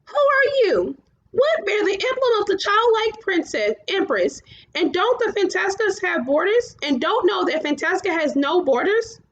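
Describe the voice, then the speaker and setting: A high, blunt storybook wind giant played by a woman, challenging and emphatic, proclaiming from the storm clouds. The recording is a little dull and lo-fi. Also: sing-song, moderate pace, fairly bright tone, full character voice.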